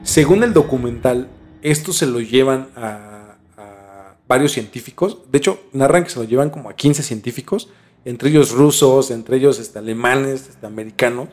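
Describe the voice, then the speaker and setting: A Mexican man hosting a podcast, telling things in an informal, halting way with some mild swearing. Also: medium pitch, a little mellow. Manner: informal, halting, mildly profane. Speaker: podcast host. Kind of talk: casual storytelling discussion.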